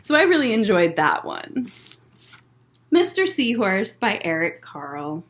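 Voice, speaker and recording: Slow, very animated woman reading to children. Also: medium pitch. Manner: expressive, deliberate phrasing for young listeners. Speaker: library story time host reading a picture book aloud. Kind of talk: children's read-aloud storytelling with short asides.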